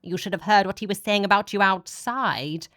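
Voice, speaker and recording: A woman's voice: medium pitch, normal speed, fairly bright tone, no room sound, clean studio sound, lightly acted. A woman voicing a cheeky male vampire professor in an audiobook, talking in a smug, flippant way. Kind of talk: dramatic audiobook character voice.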